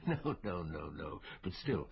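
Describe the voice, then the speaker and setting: An actor playing a wry, urbane medical officer of health in a radio drama, with a husky voice and a measured, donnish, gently teasing manner. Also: male voice, deep voice, big pitch swings, full character voice. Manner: droll, measured, donnish, given to quoting poetry. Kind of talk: BBC radio drama acting. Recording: dull, muffled radio recording.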